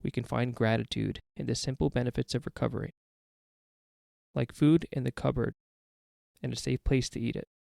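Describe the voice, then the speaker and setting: A man with a gravelly voice reading a daily meditation aloud for a podcast. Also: medium pitch, fairly even intonation, normal speed, long pauses, a little mellow, no echo, clean studio sound. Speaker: guest reader of a recovery podcast.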